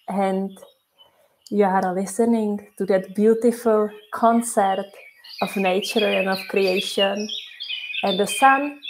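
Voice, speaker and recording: Female meditation guide, non-native (Czech) speaker, very slow and gentle, repetitive phrasing. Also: mid-range voice, slight background noise. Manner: slow, soft and repetitive, with many pauses.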